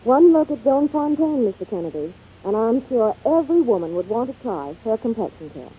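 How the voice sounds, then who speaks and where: A woman delivers chatty, gushing scripted ad talk in 1940s radio style. Her pitch is fairly high and lively. The sound is narrow and tinny. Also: a little fast, breathy edge. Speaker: radio reporter in commercials.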